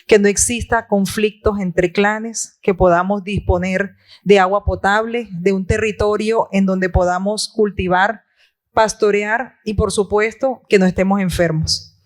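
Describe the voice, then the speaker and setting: A woman from La Guajira whose first language is Wayuunaiki, giving a measured, formal presentation on a conference panel. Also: medium pitch, fairly even intonation. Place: conference hall.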